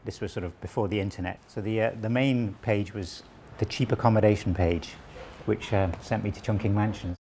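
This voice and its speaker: A man around sixty, originally from Wales, calmly explaining local history and his website as an interview guest, with small restarts. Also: lowish voice, fairly quick, few pauses, a little hiss or hum. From southwest Wales. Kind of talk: relaxed conversational explanation.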